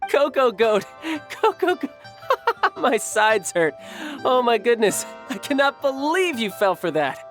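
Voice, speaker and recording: An actor playing a smug, playful young villain in a video game, breezy, cocky and teasing. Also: male voice, a little high, lively intonation, normal speed, close to an everyday voice. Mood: gleeful mockery. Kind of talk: video game dialogue acting. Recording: music playing behind. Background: background music.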